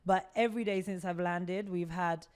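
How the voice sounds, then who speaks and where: A woman with a fairly low voice speaks as an interview guest. She is from the United Kingdom and talks in an animated, chatty way about her work as a skincare entrepreneur. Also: moderate pace. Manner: animated and talkative, storytelling. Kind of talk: casual interview talk.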